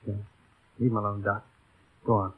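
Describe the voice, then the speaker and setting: Husky, gruff airline boss, old radio. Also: male voice, on the low side, level tone, normal speed. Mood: subdued, gentle. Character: tough airline boss, gruff, hiding grief. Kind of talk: 1930s radio drama acting. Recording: dull old radio recording.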